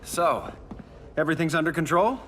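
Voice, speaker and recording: A man's voice: fairly high pitch, normal speed, very noisy, natural voice. A man playing a cocky, wisecracking hero in a video game, flippant and quippy. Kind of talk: video game cutscene acting. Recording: loud music behind. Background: loud music.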